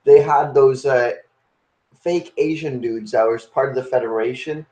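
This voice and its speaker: A young man hosting a casual podcast, talking through his ideas with plenty of fillers and restarts. His voice sits at an ordinary pitch for a man. The room adds a noticeable echo. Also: moderate pace, dark tone. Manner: rambling, full of fillers and restarts while arguing a point. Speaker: podcast host. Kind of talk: casual chat. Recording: roomy echo.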